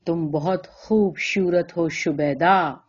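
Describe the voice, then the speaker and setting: A woman voicing a drunken, lonely, self-reproaching man in a story, with slurred, drawn-out, repeated words between laugh and sob. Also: fairly low pitch, muffled recording, lightly acted. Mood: drunken, fawning.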